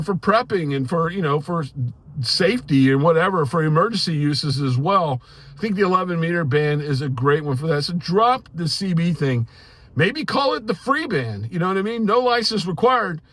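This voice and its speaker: High-voiced middle-aged American man, informal and emphatic. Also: fairly bright tone. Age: middle-aged or older. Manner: informal, emphatic, rambling with "you know" and "man". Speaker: ham radio YouTuber. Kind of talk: casual, opinionated hobbyist monologue to viewers.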